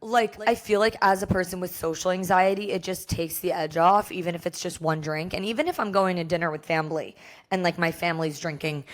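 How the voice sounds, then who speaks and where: A young American woman with a hoarse voice, talking candidly and casually as the solo host of a podcast. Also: on the low side. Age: young adult. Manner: chatty, candid, frequent "like".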